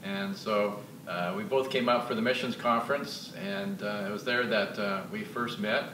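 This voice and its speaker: Older Canadian-raised missionary, low voice, hesitant, heard from across a roomy church lounge with hiss. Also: male voice, animated, normal speed. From Canada, Alberta. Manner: hesitant, many filler uhs. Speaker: missionary guest. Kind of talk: casual interview testimony. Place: church lounge with sofas, indoors. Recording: roomy echo, distant mic, clear hiss.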